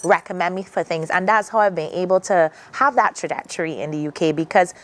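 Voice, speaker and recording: Earnest Barbadian woman, lowish voice. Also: brisk, a bit bright. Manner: earnest, reflective, many restarts. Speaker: guest. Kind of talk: morning TV interview.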